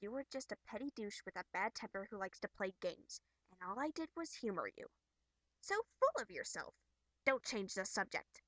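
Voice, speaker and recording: A woman's voice: medium pitch, sing-song, normal speed, fairly bright tone, no echo, low-quality recording. A snide young troll girl, as acted by a woman in an amateur webcomic performance. She drawls her sarcasm with haughty exasperation and open mockery. The recording is dry, with no room sound. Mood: contemptuous. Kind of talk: amateur character voice acting from a webcomic.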